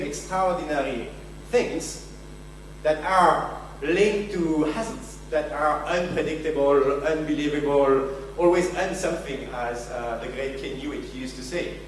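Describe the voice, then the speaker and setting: A man with a French accent giving a conference keynote, joking and self-deprecating as he talks to the audience. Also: fairly high pitch. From France. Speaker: keynote speaker. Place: large conference venue. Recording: very echoey hall, distant mic, faint hum.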